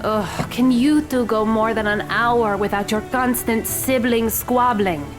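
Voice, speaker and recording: A woman playing a brisk, composed, commanding young woman in an audio drama, firm and matter-of-fact. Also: a little high, steady tone, lightly acted. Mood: brisk, impatient. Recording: music and rain behind voice.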